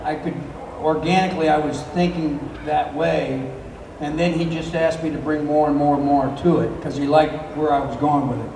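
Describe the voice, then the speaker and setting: A middle-aged man answering audience questions on a convention stage, telling stories in a relaxed, rambling way with many fillers and a handheld mic. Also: a little high, normal speed, gravelly, a little hiss or hum. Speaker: convention guest actor. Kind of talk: live stage Q&A. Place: large convention hall, stage. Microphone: handheld mic, filmed from far in the crowd. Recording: echoey hall from far off.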